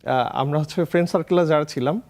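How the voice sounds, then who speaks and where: A Bangladeshi man with a fairly high voice talks as an interview guest. He tells his story in a casual, conversational way. English words and phrases are mixed into his speech. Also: quick pace, darkish tone. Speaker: guest, food content creator.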